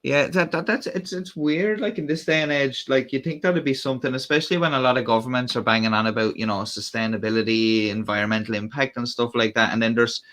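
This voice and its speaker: An Irish man chats loosely to his livestream viewers, wandering from point to point with plenty of ums and false starts. His voice is bright and forward, sitting at an ordinary middle pitch. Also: fast talker, few pauses. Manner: rambling, many fillers and restarts. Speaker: livestream host. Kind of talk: casual live-stream chat.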